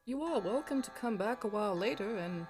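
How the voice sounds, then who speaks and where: Courteous household attendant played by a woman, lively intonation, formal period audio drama, soft music behind. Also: medium pitch, fairly quick, lightly acted. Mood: courteous, dismissive. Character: polite attendant at the Archbishop's residence.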